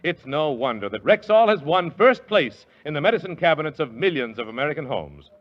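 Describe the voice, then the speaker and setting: A man announcer reading a scripted radio commercial as a smooth, emphatic sales pitch. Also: big pitch swings, brisk. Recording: dull lo-fi 1940s radio.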